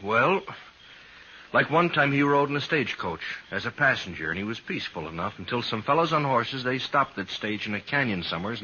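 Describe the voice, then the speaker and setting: An actor playing a folksy prisoner in a 1950s radio western, telling things in a rustic, drawn-out way. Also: male voice, medium pitch, pushed and bright. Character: folksy Old West prisoner angling for trust. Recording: dull vintage radio recording, faint noise.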